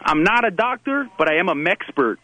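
A man's voice: sits high, sing-song, brisk, very noisy. A man playing a swaggering young male stoner who teaches pot growing, with a rambling, slangy manner. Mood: boastful. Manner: rambling slangy bravado, tags lines with "eh". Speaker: prank caller character.

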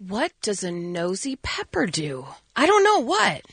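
A woman's voice: medium pitch, huge pitch swings, unhurried, a bit bright, breathy edge, no room sound. A woman co-hosting a morning radio show, chatting bubbly with callers and their kids. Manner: bubbly, laughs easily with callers. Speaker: radio co-host. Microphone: studio mic.

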